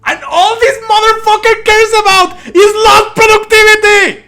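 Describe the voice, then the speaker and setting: A man's voice: extremely high pitch, steady tone, moderate pace, sharp tone, faint music behind. A man doing live let's play commentary as a streamer, sardonic and indignant, swearing freely and at times reading game lines aloud.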